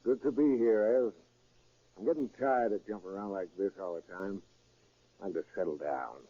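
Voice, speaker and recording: An actor playing a tough, wary gangster on the run in a 1930s radio drama, with terse, slangy talk. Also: male voice, lowish voice, normal speed, lightly acted. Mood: weary, affectionate. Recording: muffled, lo-fi 1930s radio recording.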